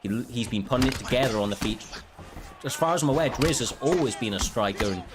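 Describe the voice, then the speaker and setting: A man commentating a live fighting game match, excitable and losing his voice. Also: medium pitch, fast, noisy background. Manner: excitable, swears when surprised. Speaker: esports commentator. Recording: music playing behind.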